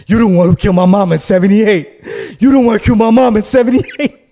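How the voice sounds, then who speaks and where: Man acting out an unhinged, high-pitched shouting accuser. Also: quick pace, lightly acted. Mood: accusing, agitated. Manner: shouting, repeating himself insistently. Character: unhinged, grief-stricken man accusing a stranger.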